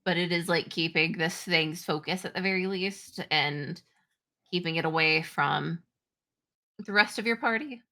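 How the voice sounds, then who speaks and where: Female game master, informal storyteller and referee, slightly low pitch, crisp bright edge. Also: measured pace. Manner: informal phrasing full of "like". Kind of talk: narrating and refereeing a tabletop game.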